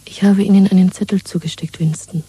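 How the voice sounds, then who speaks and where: A woman's voice: medium pitch, fairly even intonation, brisk, mellow, husky, no room sound. An actress in a German radio drama playing a bold, secretive young woman in love, half-whispering in a cool, intimate, knowing way. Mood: cool, teasing.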